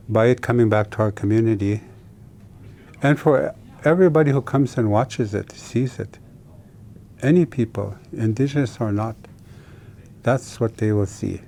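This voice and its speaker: A man speaking as a museum curator in a slow, reflective interview. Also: fairly low pitch, mellow, slight background noise. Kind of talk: interview answers.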